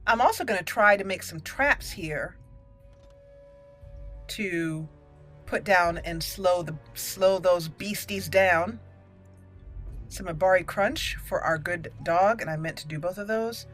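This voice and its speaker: A woman's voice: on the low side, takes it slowly, pauses often, fairly bright tone, faint music behind. A woman hosting a gaming channel with casual roleplay commentary, chatty and easily amused.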